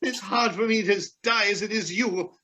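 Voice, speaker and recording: A man's voice: high-pitched, normal speed, pushed and bright. An actor playing a cantankerous, frightened old man in a radio drama, blustering and scolding as he cries out. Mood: grumpy, scornful. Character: cantankerous old man trapped in a flooding mine, terrified of dying. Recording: very noisy track with clinks and clatter.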